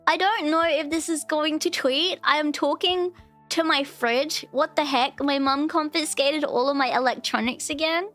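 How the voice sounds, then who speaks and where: A woman chatting casually on her live stream, with a cute, high voice, rambling and giggling through restarts and fillers. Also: steady tone, normal speed, fairly bright tone. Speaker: VTuber streamer. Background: faint background music.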